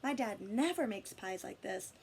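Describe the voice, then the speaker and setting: A woman's voice: medium pitch, animated, normal speed, vocal fry, a little mellow, a little hiss or hum. A woman voicing a friendly, curious, wide-eyed neighbor boy in a storybook read-aloud. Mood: impressed.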